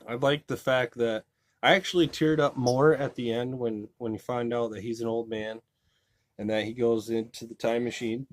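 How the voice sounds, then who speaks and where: A man talks through a movie review in a loose, rambling way, leaning on fillers and restarting his sentences. His voice sits at an ordinary pitch. The recording is dry and close with no room echo. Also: fairly even intonation, moderate pace. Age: adult. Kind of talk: casual spoken review.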